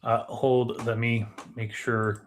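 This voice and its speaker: A man's voice: medium pitch, little pitch movement, slow pace, a little hiss or hum. A man talking casually as a podcast co-host in a brief, matter-of-fact way. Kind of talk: casual unscripted chat.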